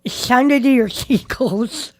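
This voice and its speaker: A woman's voice: on the high side, measured pace, a little mellow, no room sound. A woman co-hosting a podcast, dropping teasing, crude quips in casual group chat. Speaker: podcast co-host.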